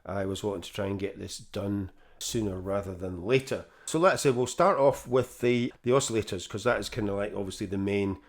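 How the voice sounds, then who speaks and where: A man with a croaky voice from a throat infection, hosting a casual synth tutorial at his keyboard in a home studio, talking haltingly with lots of fillers and restarts. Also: on the low side. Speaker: synth demo host.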